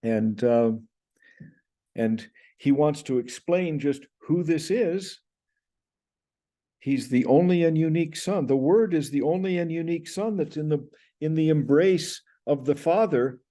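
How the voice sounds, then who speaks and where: A man's voice: on the high side, animated, measured pace, mellow. An older man teaching Greek pronunciation in an explanatory lecture, speaking to a webcam indoors. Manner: explanatory, gestures with hands, recites Greek sounds.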